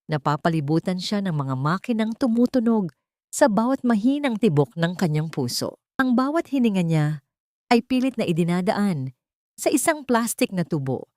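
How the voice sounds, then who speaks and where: Woman reading a dramatic story as narrator, bit low pitched, really dry sound. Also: dark tone, no room sound.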